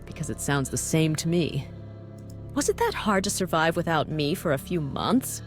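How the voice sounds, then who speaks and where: A man's voice: fairly high pitch, big pitch swings, moderate pace, pitched above the performer's own voice. A man acts out an ancient vampire woman from a visual novel, snarky and smugly teasing. The delivery swoops up and down in an affected, condescending drawl, with music underneath. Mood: mocking, condescending. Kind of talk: visual novel character reading.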